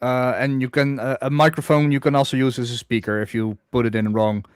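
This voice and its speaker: A man from the Netherlands, hosting a casual livestream chat in a droning, halting way. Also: medium pitch, clear, resonant tone, dry recording, studio quality. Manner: hesitant, many restarts, self-described droning monotone. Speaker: livestream host.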